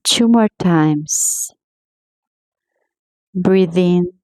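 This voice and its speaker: A woman guiding a meditation in a slow, soothing, gently paced scripted voice. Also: lowish voice, no room sound, poor audio. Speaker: meditation guide.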